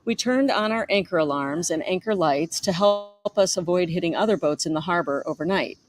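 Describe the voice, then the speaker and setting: A woman's voice: on the low side, moderate pace, soft background music. A middle-aged woman reading her own memoir aloud as its author, in a storytelling manner with the occasional stumble.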